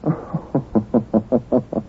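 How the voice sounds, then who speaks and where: An actor playing a self-assured, sardonic detective doctor in a 1940s radio drama. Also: male voice, mid-range voice, drawn-out words, slightly rough, full character voice. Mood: sarcastic, annoyed. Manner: sardonic, teasing. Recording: tinny, hissy old radio broadcast.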